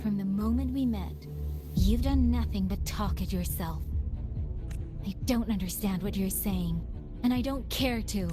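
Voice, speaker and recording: An actress playing a perceptive, guarded young heroine in a video game, speaking tersely and deliberately with defiant resolve. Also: female voice, medium pitch, lightly acted. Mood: firm defiance. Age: young adult. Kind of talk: dramatic game voice acting. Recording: loud music behind the voice. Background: loud game music.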